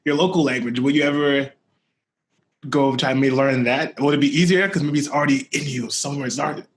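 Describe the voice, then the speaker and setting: A man hosting a podcast interview in a relaxed, easygoing way, laughing often and leaning on "you know". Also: medium pitch, quick pace, slight background noise. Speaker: podcast host. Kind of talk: casual interview chat.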